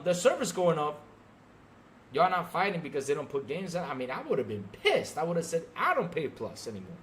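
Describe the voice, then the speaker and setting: Male gaming podcaster, higher voice, very animated delivery, emphatic and sarcastic live rant. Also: a little hiss or hum. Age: middle-aged. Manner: emphatic, sarcastic, mimics fans mockingly. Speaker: podcast host.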